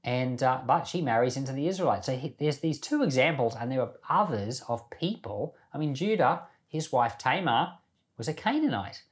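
Man, medium-pitched voice, informal Bible commentary, conversational teacher, questions put to listeners. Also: lively intonation, normal speed. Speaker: Bible teacher and podcast host.

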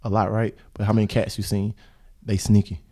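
Loose joking man with a lowish voice. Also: monotone, a little fast, dark tone. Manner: loose, joking, swears freely, breaks into laughter. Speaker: podcast host. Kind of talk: casual podcast chat.

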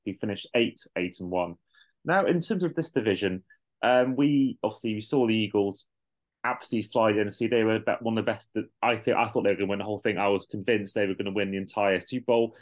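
A man hosting a podcast, talking through sport in a casual discussion style. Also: medium pitch. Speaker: podcast host.

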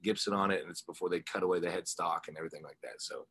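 A man's voice: low voice, fairly even intonation, rapid-fire, a bit bright. A man being interviewed as a musician, chatting informally and telling rambling anecdotes. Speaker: musician interview guest, rock singer and guitarist. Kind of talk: casual chat, telling anecdotes.

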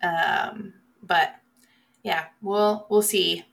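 A woman's voice: medium pitch, steady tone, extremely slow, vocal fry, fairly bright tone. A woman co-hosting a podcast in casual chat, with dry, offhand humour. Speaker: co-host of a horror movie podcast.

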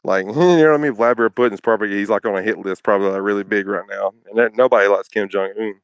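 Laughing, profane young podcast host. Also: male voice, on the low side, fast talker, clean tone, dry recording, dull audio. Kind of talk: casual podcast banter.

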